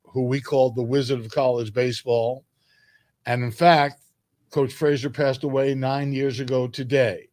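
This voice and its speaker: An older man from New York reminiscing as an interview guest, speaking in a measured way with emphatic phrasing. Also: mid-range voice, fairly even intonation, a little mellow, no room sound. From New York, United States. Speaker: guest, sports public address announcer.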